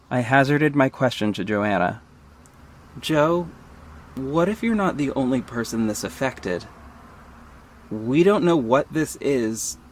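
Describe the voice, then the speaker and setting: A man's voice: medium pitch, no echo, lightly acted. A man playing a wry, self-aware superhero who narrates his own story in a scripted audio drama. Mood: serious, pondering.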